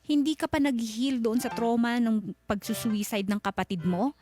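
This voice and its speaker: A woman's voice: a little high, moderate pace, darkish tone. A Filipino woman hosting an advice show, speaking bluntly and scolding as she checks that the listener agrees. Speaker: radio advice show host. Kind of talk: frank advice to a caller. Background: background music.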